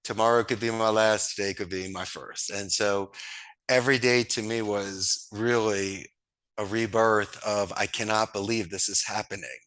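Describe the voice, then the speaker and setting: A man tells stories with enthusiasm and homespun sayings. His voice sits a little low yet sounds bright and forward. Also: steady tone, normal speed, rough recording. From Northeast Philadelphia, USA. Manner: enthusiastic, storytelling, folksy sayings. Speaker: guest, call center founder. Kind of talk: casual interview talk.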